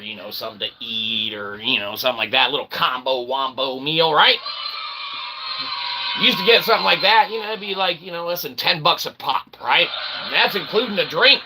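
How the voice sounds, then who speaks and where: American male host, somewhat high-pitched, rambling sarcastic monologue, noisy home recording. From United States. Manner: sarcastic, rambling, full of fillers and asides. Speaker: channel host.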